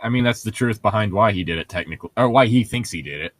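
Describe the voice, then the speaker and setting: A man's voice: fairly low pitch, expressive, fast talker, dry recording. A man co-hosting a read-along, blunt and sardonic, swearing freely as he moves between casual banter and reading aloud. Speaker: co-host of a group read-along show. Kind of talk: casual chat mixed with reading a novel aloud.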